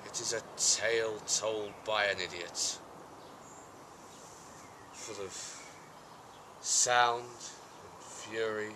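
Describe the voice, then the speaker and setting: A man's voice: on the low side, fairly even intonation, very slow, pauses often, pitched below the performer's own voice. A man playing a brooding, war-weary tyrant king in an intense, theatrical Shakespearean tragic monologue. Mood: bleak despair. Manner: theatrical, intense, shifting between hushed and outbursts. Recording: amateur home video with background noise. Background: birds and ambient noise.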